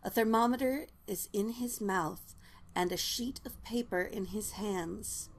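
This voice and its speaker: A woman's voice: mid-range voice, a little slow. A woman narrating scripted stage directions for a drama.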